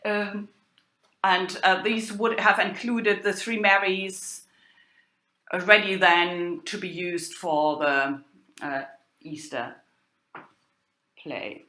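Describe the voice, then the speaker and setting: A woman lecturing informally as an academic, explaining material in a halting, hesitant way with frequent filled pauses. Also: on the low side, steady tone, sharp tone. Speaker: university lecturer in medieval German literature. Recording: echoey, roomy sound.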